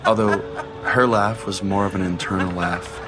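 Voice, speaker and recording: A man's voice: sits low, fairly even intonation, normal speed, over loud music. A man narrating a scripted first-person comic story as a podcast host, in a dramatic, wry manner.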